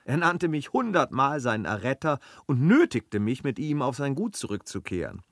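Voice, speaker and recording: An actor in a period radio play playing a courteous, upright gentleman traveller who speaks politely and formally. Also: mid-range voice, sing-song, normal speed, no echo, clean studio sound, natural voice. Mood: earnest narrating. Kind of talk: German radio play, classical period dialogue.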